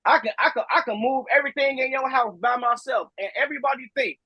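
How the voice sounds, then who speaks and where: A man in his thirties on a video call, talking in a teasing, animated and emphatic way. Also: extremely high pitch, fast, breathy edge, no room sound, lo-fi sound. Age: 30s. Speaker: meeting participant. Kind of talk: casual chat.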